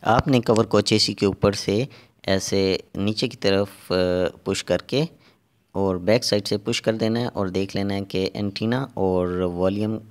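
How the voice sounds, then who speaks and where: A man narrating a hands-on repair tutorial step by step, halting and repeating himself as he works. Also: sits low. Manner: halting and repetitive, pausing while working. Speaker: repair technician giving a tutorial.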